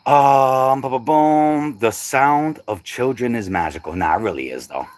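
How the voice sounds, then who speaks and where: A man's voice: medium pitch, animated, measured pace. A middle-aged man chatting casually on a livestream, laid-back and slangy, laughing often. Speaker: livestream host.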